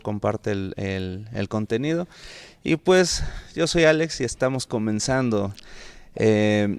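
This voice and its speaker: Low-voiced podcast host, smooth yet gravelly. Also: male voice, a little mellow, no room sound. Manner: friendly, with fillers and laughs. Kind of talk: podcast interview.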